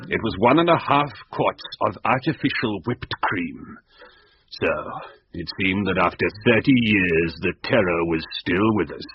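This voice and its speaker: Casting: pompous British gentleman narrator for spoof gothic radio, low voice with big swoops, muffled vintage sound. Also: expressive, measured pace, husky, full character voice. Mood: grave, ominous. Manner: grave, pompous storytelling, formal florid phrasing. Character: Wellborn, melodramatic gentleman recounting a horror tale, easily panicked. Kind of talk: mock-gothic comic radio drama narration and acting. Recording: muffled vintage radio recording, faint noise.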